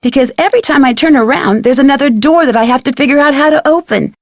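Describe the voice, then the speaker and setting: An actress playing an inquisitive, self-assured young female detective in an adventure game, speaking in a clear conversational way. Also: high voice, fast, dry recording, studio quality. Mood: intrigued, chatty. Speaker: game protagonist and tutorial guide. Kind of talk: scripted adventure game dialogue and narration.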